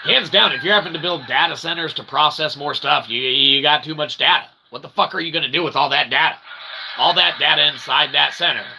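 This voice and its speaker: A man's voice: a little high, a little fast, gravelly. A man delivering a rambling, joking philosophical monologue to camera as a channel host, with convoluted pseudo-formal phrasing, repetition and casual swearing. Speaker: host of his own channel. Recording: noticeable background noise.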